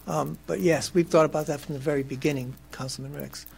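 A hoarse man with a scratchy throat, speaking haltingly and apologetically as a developer at a council meeting. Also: on the high side, steady tone, a little mellow. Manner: apologetic, halting, stumbles on words. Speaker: developer at public hearing. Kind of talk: public comment at council meeting.

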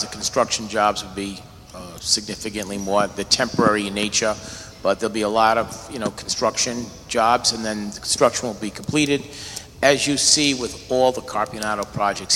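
A man's voice: lowish voice, fairly even intonation, moderate pace, pushed and bright. A man, an attorney for a developer, answering a council member's question confidently. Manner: assured, advocating for his client. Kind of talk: answering questions at a public meeting. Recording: echoey chamber, faint noise.

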